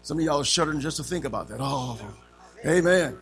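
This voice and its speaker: A man teaching Sunday school in a folksy way, his voice a little raspy from allergies, dropping in amens often. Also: on the high side, fairly quick, fairly bright tone. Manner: punctuates with frequent amens, calls to the class.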